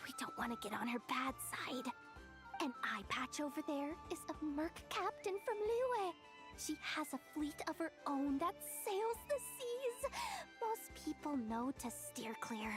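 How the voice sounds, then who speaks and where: Super high, jittery gossipy game girl, dramatic and swoopy, music behind her. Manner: excitable, conspiratorial, dramatic. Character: anxious, gossipy young female expo manager. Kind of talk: video game voice acting.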